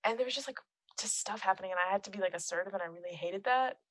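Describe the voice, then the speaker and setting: A young woman with a naturally soft, quiet voice, talking with a guest's easy chattiness on a close microphone. She laughs often and leans on "like" as she talks. The sound is dry, with no room around it. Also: mid-range voice, racing, no echo. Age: young adult. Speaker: guest, film composer and musician. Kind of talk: casual podcast chat. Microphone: close podcast microphone.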